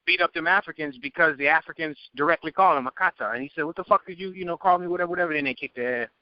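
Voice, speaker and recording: A man in a casual group discussion, measured and agreeable, explaining carefully. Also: a little high, dry recording. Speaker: podcast panelist. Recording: dull dry lo-fi audio.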